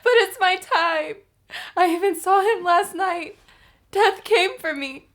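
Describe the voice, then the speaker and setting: A woman's voice: very high voice, slow pace, a bit bright, full character voice. A woman playing a terrified, pleading young female patient in a horror manga dub, tearful and afraid of death. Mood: haunted dread.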